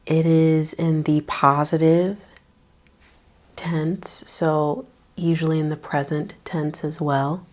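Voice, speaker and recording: Slow, soft-spoken woman guiding meditation. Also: sits low, level tone. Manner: slow, soft-spoken, with long pauses and breathy trailing words. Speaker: yoga nidra teacher. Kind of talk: guided meditation.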